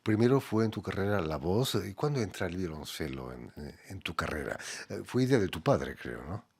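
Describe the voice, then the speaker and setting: A man's voice: deep voice, big pitch swings, normal speed, creaky, slightly rough. A man hosting a radio interview with courteous, formal phrasing.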